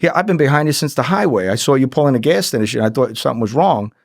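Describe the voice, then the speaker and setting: A man's voice: mid-range voice, expressive, fast, creaky, no echo, studio quality. A middle-aged man telling anecdotes casually as a podcast guest, acting out the dialogue and swearing freely. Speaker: podcast guest, former undercover agent.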